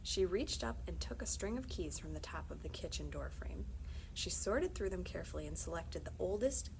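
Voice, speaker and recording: A woman's voice: lowish voice, creaky, noisy background. A woman reads a story aloud expressively, dramatically, with wry asides. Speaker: library story reader.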